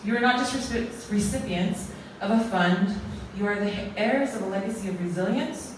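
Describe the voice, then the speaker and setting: Woman, formal closing speech, earnest and ceremonial, mid pitch, captured by a distant mic in a big echoing hall with faint noise. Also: moderate pace. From American Samoa. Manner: earnest, ceremonial, speaks in lists. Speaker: closing speaker at an arts awards event. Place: large echoey museum hall.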